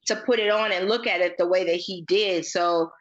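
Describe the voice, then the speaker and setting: A woman's voice: lowish voice, steady tone, normal speed. A woman co-hosting a casual TV recap podcast, talking conversationally on slightly lo-fi audio. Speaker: podcast co-host.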